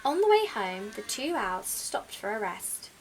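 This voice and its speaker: A woman reading a children's storybook aloud as an expressive storyteller. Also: mid-range voice, unhurried, low-quality recording. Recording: faint background noise.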